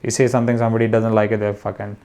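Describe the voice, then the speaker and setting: A man's voice: lowish voice, little pitch movement, very fast, dark tone. A young man from India, a comedian guest, talking casually with many restarts and fillers. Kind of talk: casual conversation.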